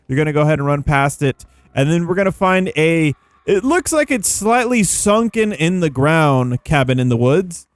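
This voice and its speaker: Higher-pitched man doing casual gaming commentary. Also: very animated, normal speed, no echo. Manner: rambling, playful, informal slang. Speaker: gaming video host. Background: background music.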